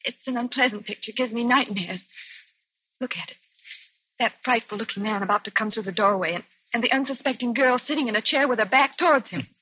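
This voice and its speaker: A woman acting a frightened, imaginative young woman in an old-time radio suspense drama, hesitant and halting. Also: a little high, breathy edge, lo-fi sound. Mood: uneasy.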